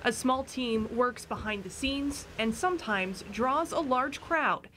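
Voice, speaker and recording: A woman's voice: fairly high pitch, unhurried, noisy background. An American woman news reporter narrating a scripted field story.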